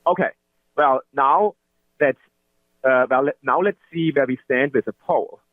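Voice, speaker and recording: Guy giving a business webinar, kinda halting, medium pitch, sounds like a dry phone line. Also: dry recording. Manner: halting, with frequent interjected "well" and self-questions. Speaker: webinar presenter from a call analytics company. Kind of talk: semi-scripted business webinar presentation.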